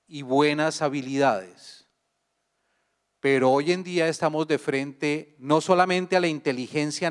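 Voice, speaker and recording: Slow Colombian man lecturing in a hall. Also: a little high, clear, resonant tone. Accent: Colombian Spanish. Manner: slow, deliberate, addresses audience with questions. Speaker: guest speaker. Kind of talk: university lecture. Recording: long hall reverb.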